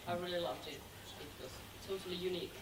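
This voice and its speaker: A woman's voice: on the low side, steady tone, fairly quick. Eager woman, roomy and noisy hall. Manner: eager, plain simple English. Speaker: audience member. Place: cinema hall. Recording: echoey hall from far off with noise.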